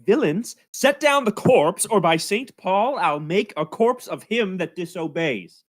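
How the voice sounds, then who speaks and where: A woman's voice: mid-range voice, expressive, unhurried. A young woman playing a cunning, commanding male villain in a Shakespearean radio play, imperious and insinuating. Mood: commanding, threatening. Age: young adult. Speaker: acting student.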